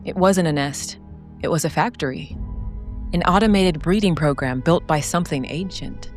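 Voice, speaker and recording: A woman narrating a scripted, dramatic solo role-playing story in a solemn, deliberate way with short phrases. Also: low-pitched. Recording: background music under the voice.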